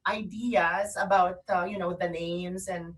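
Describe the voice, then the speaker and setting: A woman with a Filipino accent co-hosting an online radio show, chatting casually and promotionally in an excitable, gushing way. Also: fairly low pitch, measured pace, far from the mic. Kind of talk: casual promotional chat between co-hosts.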